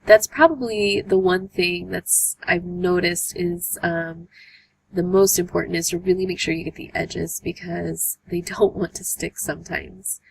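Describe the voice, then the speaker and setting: Hoarse woman with a cold, gravelly. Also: lowish voice, fairly even intonation, measured pace, rough recording. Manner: chatty, many "um" fillers. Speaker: art journaling tutorial host. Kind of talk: casual tutorial voice-over.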